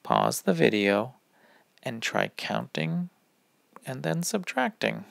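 A man reading a rhyming children's picture book aloud in a deliberate, instructive manner. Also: medium pitch, big pitch swings, vocal fry, a bit bright, no room sound. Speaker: read-aloud reader.

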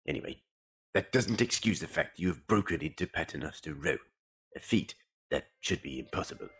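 A man playing a gruff, suspicious alien warrior in an audio drama, gravelly, with a pompous, blunt and formal manner. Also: a bass voice, expressive, a little fast, a bit bright, heavily acted. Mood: flustered. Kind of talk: fan audio drama acting.

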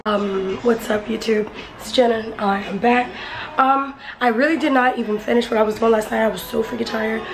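A woman's voice: on the high side, fairly even intonation, moderate pace, over music. Chatty young woman vlogging at arm's length. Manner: chatty and playful, with slang and laughs. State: hoarse, voice partly lost. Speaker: beauty vlogger. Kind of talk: casual vlog chat. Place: home beauty room, indoors, and outdoors in wind. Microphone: handheld camera at arm's length. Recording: noisy handheld vlog audio.

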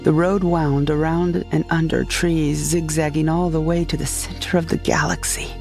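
A woman narrating a scripted first-person comedic sci-fi audio drama in a deadpan storytelling manner. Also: low-pitched, normal speed, gravelly. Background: music.

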